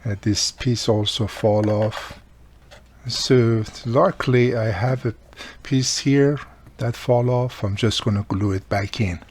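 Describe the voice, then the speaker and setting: Slightly husky man with non-native English accent. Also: medium pitch, steady tone, slow, a little mellow. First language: not English. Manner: plain-spoken, explains step by step while working. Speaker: radio repairer demonstrating a restoration. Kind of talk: casual hands-on tutorial narration.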